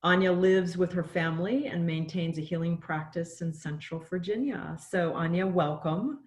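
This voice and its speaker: A woman's voice: fairly low pitch, moderate pace, a little mellow, echoey room. A woman hosting an interview, welcoming and introducing her guest. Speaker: host.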